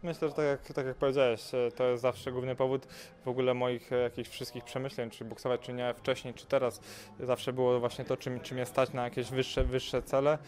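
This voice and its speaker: Młody bokser, płaski głos, dużo wahań. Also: male voice, medium pitch, little pitch movement, fairly quick, a little mellow. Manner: hesitant, many fillers and repeated words. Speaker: boxer. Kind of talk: casual interview answers. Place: event press wall, indoors. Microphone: handheld mic held by interviewer.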